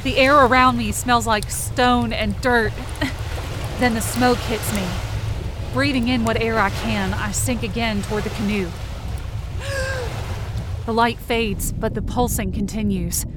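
A high-pitched, urgent young woman played by an actress tells her story in a dramatic podcast. She is panicked and intense, ready to break into a cry, with noisy sound effects behind her. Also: fairly even intonation, measured pace, pauses often, natural voice. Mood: tense, urgent. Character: panicked young woman narrating a desperate lake rescue. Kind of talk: first-person dramatic narration in fiction podcast.

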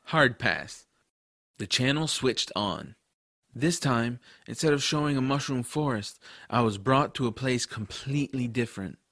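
A male narrator tells a fantasy adventure in the first person, as its hero. His voice has a medium pitch and is recorded close and dry. The delivery is scripted storytelling. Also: expressive, a little slow, fairly bright tone, dry recording.

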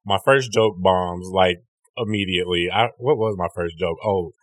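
Brief: young male comic, deep and low, rambling profane storyteller, dry close mic. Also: moderate pace, no echo. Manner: rambling, profane, restarts often, acts out quotes in a high voice. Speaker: comedian and podcast host. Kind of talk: casual storytelling chat.

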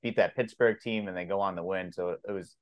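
A man's voice: deep voice, fairly even intonation, fast, highs cut off. A man co-hosting a sports podcast, talking casually and informally in an agreeable way. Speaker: podcast co-host.

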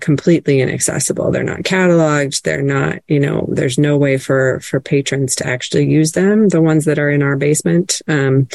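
A woman's voice: deep voice, creaky, darkish tone, no room sound. A woman librarian presenting casually on an online call, chatty, with frequent fillers and restarts.

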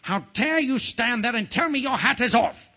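A man voicing an imperious, quick-tempered storybook king with a commanding, indignant, shouting manner. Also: medium pitch, animated, breathy edge, full character voice. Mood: outraged. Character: imperious, furious old-fashioned king, quick to rage.

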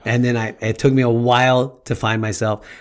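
A man with Colombian roots, speaking in a measured, reflective way in casual conversation. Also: mid-range voice, level tone. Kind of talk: casual chat.

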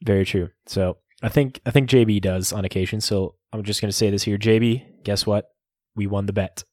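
A man's voice: fairly low pitch, fairly even intonation, a little fast, darkish tone, no echo, clean studio sound. A man hosting a podcast in a casual, joking way. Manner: laid-back, joking, searches for words. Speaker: podcast host.

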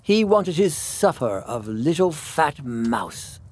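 A male storybook narrator reads a children's tale expressively. His pitch rises and falls in a very animated way as he tells the scripted story. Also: slow.

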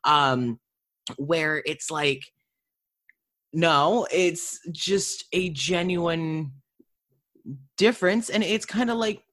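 A woman interviewer and actor chatting casually, animated and slipping into imagined quotes as she talks. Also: deep voice, drawn-out words, pauses often.

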